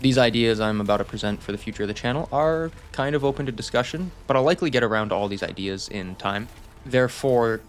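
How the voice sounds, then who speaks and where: A man's voice: lowish voice, gravelly. A young man giving a casual, rambling channel update, self-deprecating and reassuring. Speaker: channel host.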